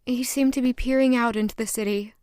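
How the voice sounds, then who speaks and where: A demure young maid played by a woman in an audio drama, easily flustered and privately smitten. Her voice is high and very smooth, recorded close and dry. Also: steady tone, fairly quick, a little mellow, no echo, close to an everyday voice. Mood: smitten, reflective. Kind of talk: audio drama acting with first-person narration.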